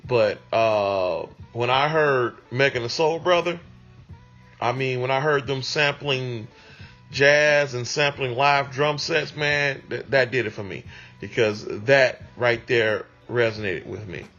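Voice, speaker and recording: Man, mid-pitched, slow and conversational, slightly dull recording, faint music underneath. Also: a bit bright. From Detroit, USA. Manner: unhurried, conversational, with many ums. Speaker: music producer interviewee. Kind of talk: reflective interview answers.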